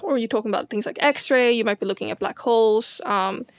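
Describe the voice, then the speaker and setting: A woman's voice: on the high side, brisk, no room sound. A woman with a Swedish accent, co-hosting a casual science chat as an astronomer, enthusiastic and full of fillers and restarts. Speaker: co-host, astronomer.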